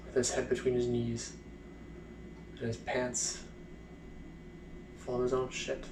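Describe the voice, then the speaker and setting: A man's voice: mid-range voice, gravelly, background noise, lightly acted. A man performing a bitter, sardonic blinded First World War soldier in a dramatic stage monologue with pent-up anger. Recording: roomy echo, faint music.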